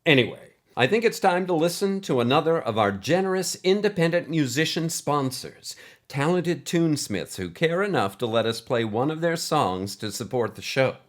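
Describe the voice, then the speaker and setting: A man's voice: fairly high pitch, big pitch swings, normal speed. A man playing an earnest, chatty host of a fringe space podcast, with a theatrical scripted radio-host delivery.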